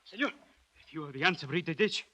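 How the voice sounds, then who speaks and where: A man's voice: fairly high pitch, expressive, quick pace, pushed and bright. A man acting a loyal, deferential plantation worker in a 1940s radio drama.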